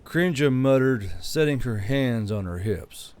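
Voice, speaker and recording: A man's voice: mid-range voice, expressive, unhurried, darkish tone. A man narrating a scripted audiobook story in the role of narrator.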